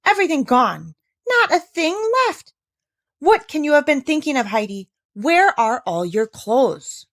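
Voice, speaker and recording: A woman's voice: high-pitched, big pitch swings, a little slow, voice pushed up, heavily acted. A woman voicing an exasperated, indignant aunt who scolds emphatically in a storybook read-aloud. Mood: shrieking, scolding. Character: scolding, exasperated young aunt in a children's classic.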